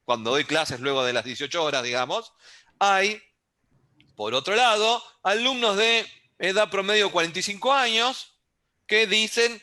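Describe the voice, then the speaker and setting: A man's voice: high-pitched, normal speed, sharp tone. A man in his fifties, from Uruguay, with a Buenos Aires Argentine accent, giving an online lecture over a video call in a conversational way and often checking in with his listeners. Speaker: guest lecturer.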